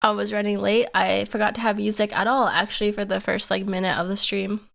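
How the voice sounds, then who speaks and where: A young woman streamer chatting casually and playfully, giggling and teasing herself, her voice hoarse and stuffy from a cold. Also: mid-range voice, level tone, fairly quick, fairly bright tone. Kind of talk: casual live-stream chat.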